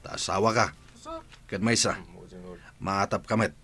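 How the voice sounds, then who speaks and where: Low-voiced, blunt accomplice. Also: male voice, steady tone, measured pace, a bit bright. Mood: accusing, matter-of-fact. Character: blunt, accusing male accomplice. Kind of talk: Ilocano radio drama acting.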